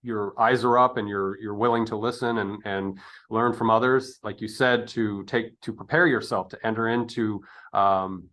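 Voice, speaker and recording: A man in casual, reflective podcast conversation, speaking in wordy, philosophical phrases with halting turns. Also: lowish voice, fairly even intonation, fairly bright tone. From United States. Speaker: podcast interviewer.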